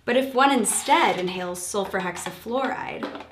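A woman in her twenties explains science in a measured, scripted way, like a presenter at a demonstration. The room adds a strong echo to her voice. There is clear noise in the recording. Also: mid-range voice. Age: 20s. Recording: echoey room with background noise.

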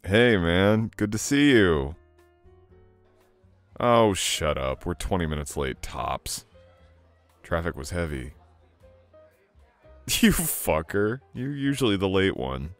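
Young male lead, very low gravelly tone, teasing and soft-spoken; scripted romance audio. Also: sing-song, slow, pauses often, faint music behind. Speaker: audio roleplay performer. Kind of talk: scripted boyfriend roleplay ASMR.

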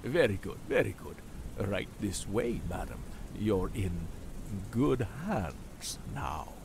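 A man's voice: fairly low pitch, big pitch swings, slow pace, vocal fry, noisy background, exaggerated voice. A man playing a courteous, grand and menacing aristocratic manor host in a horror radio play, effusive and theatrical.

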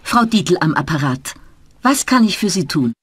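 A businesslike travel-agency clerk. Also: female voice, on the low side, very animated, normal speed, slightly rough, no echo. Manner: polite and businesslike. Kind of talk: scripted language-course dialogue.

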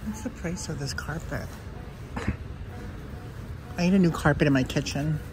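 A woman's voice: low voice, moderate pace, noisy background. A woman vlogger chatting casually to herself as she browses a large thrift store, filmed on a handheld phone. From Canada. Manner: chatty, musing aloud while browsing. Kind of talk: casual thrift shopping commentary. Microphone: handheld phone camera. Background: background music.

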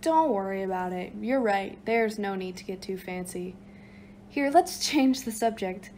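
A woman's voice: mid-range voice, lively intonation, a little slow, dark tone, lightly acted. A woman voicing a casual, mischievous young elf slacker in a cartoon, slangy and teasing. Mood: playful. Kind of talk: animated web series voice acting. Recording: noticeable background noise.

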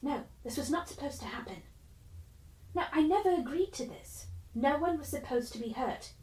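A defensive, guilt-stricken woman in a video game, speaking high and insistent. The sound is roomy and set back from the mic. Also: lively intonation, normal speed, a little mellow. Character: guilt-stricken woman denying blame for an attack. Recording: roomy, from a distance.

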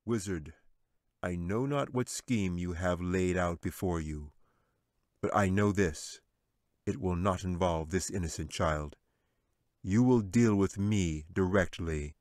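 Noble male angel protector, grave and formal, read by a male narrator, low and dry. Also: slow pace, a little mellow, no echo. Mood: solemn. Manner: grave, formal old-fashioned speech.

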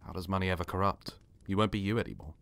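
Mysterious stranger, played by a male actor: low voice, earnest, insistent, ominously knowing, for a dramatic script reading. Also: racing, gravelly, fairly bright tone, no echo. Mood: grave, knowing.

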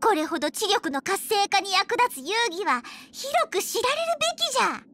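A woman's voice: very high-pitched, sing-song, normal speed, sharp tone, full character voice. A woman voicing a small, proud, playful dragon girl healer for a video game, talking in an archaic, self-important old-timer's way with a child's voice. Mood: musing, chatty. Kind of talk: acted video game character voice lines.

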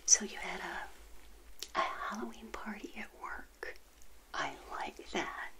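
A woman's voice: mid-range voice, steady tone. Whispering middle-aged woman as friendly witch. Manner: gentle, unhurried, intimate personal attention. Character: friendly witch hosting a tea party. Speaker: ASMR host playing a friendly witch. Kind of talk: whispered ASMR roleplay. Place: small indoor set, seated. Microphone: close to the mic, facing the camera.

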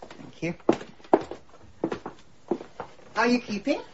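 A woman's voice: on the high side, sing-song, fast talker. An actress playing a polite, sympathetic middle-aged woman sleuth in a radio drama, tactful and a little hesitant. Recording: slightly dull, noisy recording.

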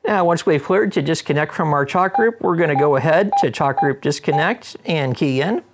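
A man's voice: fairly high pitch, expressive, moderate pace, a little hiss or hum. A middle-aged man with an American accent presenting an instructional demonstration with casual radio chat, methodical in his delivery, recorded in an indoor studio. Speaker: presenter, ham radio operator. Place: indoor studio with wood-panel backdrop.

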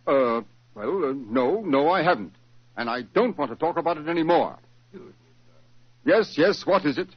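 An irascible older British master of a country house. He is curt and impatient, with a highish, rough-edged voice. The sound is a muffled vintage radio drama. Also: expressive, moderate pace, pauses often. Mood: irritated. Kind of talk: 1940s radio drama acting. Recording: muffled old 1940s radio recording.

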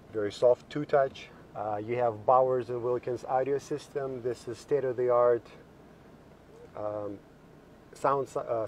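A man's voice: mid-range voice, darkish tone, a little hiss or hum. A man working as a car dealership product specialist, walking viewers through a car's features from inside it, with plenty of ums and restarts. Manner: explains features with frequent fillers and restarts. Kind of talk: car walkthrough video. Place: inside a car in a parking lot.

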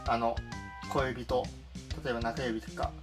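A man's voice: mid-range voice, little pitch movement, measured pace. A man explaining things step by step as a music teacher in a spoken tutorial.

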